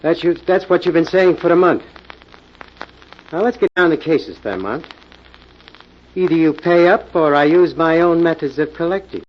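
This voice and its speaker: An actor playing a hard-nosed, coolly menacing American gambling club boss in a 1940s radio drama, firm and skeptical, on an old recording. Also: male voice, on the high side, moderate pace, long pauses, highs cut off, lightly acted. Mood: firm, threatening. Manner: firm, skeptical, tough-talking.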